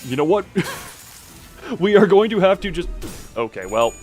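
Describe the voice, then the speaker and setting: A man's voice: high voice, big pitch swings, moderate pace, darkish tone. Man live-streaming a game over music. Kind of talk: casual live game commentary, talking to self and chat. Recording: music playing behind the voice.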